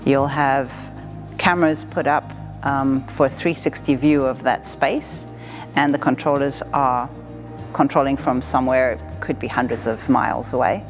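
A woman in her forties from southern Africa, talking in a measured, matter-of-fact way as an air traffic controller being interviewed. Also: very deep voice, steady tone, soft background music. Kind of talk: explanatory interview talk. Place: indoor room with wood-panelled wall.

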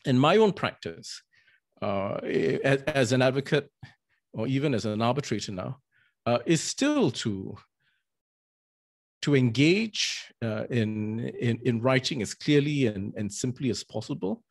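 A man's voice: medium pitch, lively intonation, a little slow, vocal fry. A middle-aged man from Singapore, a barrister and arbitrator, gives an earnest webinar talk with frequent restarts and fillers. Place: indoors with virtual green backdrop. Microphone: video call, webcam.

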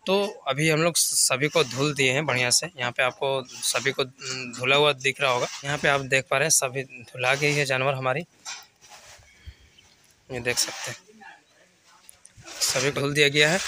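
Male dairy farmer host with a Bihari Hindi accent, bright voice, casual explainer, recorded outdoors on a phone. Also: mid-range voice, slight background noise. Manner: conversational, explanatory, mixes English words. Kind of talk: casual walkthrough vlog. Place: open-sided cattle shed outdoors.

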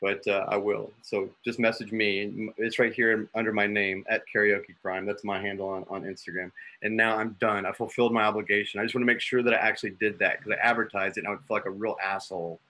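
Bright, forceful man chatting informally. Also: fairly low pitch, level tone, fast talker, hardly any pauses. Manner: informal, rambling. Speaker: writer and actor. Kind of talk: casual chat. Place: small room on video call.

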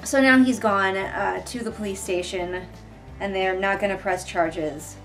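A woman's voice: mid-range voice, steady tone, unhurried, fairly bright tone, soft background music, rough recording. A young woman giving casual book commentary in a sarcastic, exasperated manner, recorded indoors a little way from the camera. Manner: sarcastic, exasperated, mildly sweary. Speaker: vlogger reviewing a book. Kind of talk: casual read-along commentary. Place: living room couch, indoors.

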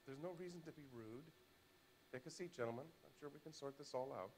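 An actor playing an indignant, curt middle-aged company owner in a video game. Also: male voice, mid-range voice, quick pace, darkish tone, close to an everyday voice, low-quality recording. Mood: controlled, polite.

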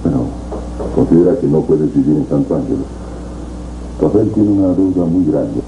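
A man's voice: a bass voice, normal speed. A middle-aged man playing a firm, protective relative in a 1970s telenovela. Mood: firm, persuasive. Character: protective male relative, firm, calmly reassuring. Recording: muffled, noisy, echoey old TV tape.

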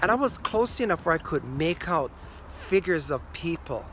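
An older man with a Hawaiian accent telling a ghost story to the camera, slowing down and going quiet at the key moments. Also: on the high side, big pitch swings, slight background noise. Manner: slow, hushed at key moments. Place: green screen studio. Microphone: facing camera at close range.

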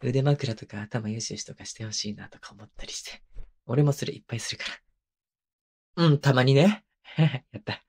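A man playing a tender, clingy, teasing young husband in an intimate ASMR voice drama, speaking softly and playfully close to the microphone. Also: medium pitch, moderate pace. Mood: bashful, happy. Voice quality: soft, breathy. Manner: murmuring, playful, coaxing. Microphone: close microphone, binaural.

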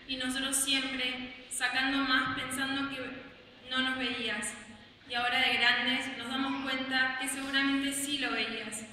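A woman's voice: high-pitched, pushed and bright. A young woman with an Argentine accent reading a heartfelt farewell speech for her grandfather from a lectern in a large church. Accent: Argentine Spanish. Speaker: granddaughter giving a eulogy. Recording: echoey church from far off, with background noise.

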